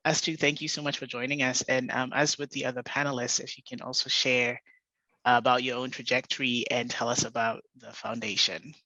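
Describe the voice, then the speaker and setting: A man moderating an online panel, polite and deliberate as he introduces speakers. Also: medium pitch, level tone, sharp tone, no room sound. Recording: dull, dry online call audio.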